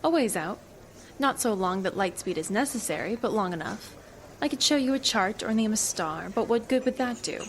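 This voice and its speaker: An actress playing a cool, evasive government agent in an audio drama, with a dry, teasing, knowing manner. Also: female voice, mid-range voice, lively intonation, normal speed, slight background noise, natural voice. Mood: wry, controlled. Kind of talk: naturalistic audio drama acting.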